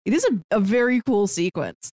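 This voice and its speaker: A woman with a medium-pitched voice chats casually about films as a podcast co-host. The sound is dry and close, free of room echo. She talks in an everyday conversational way. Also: sing-song, moderate pace, darkish tone. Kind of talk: casual film discussion.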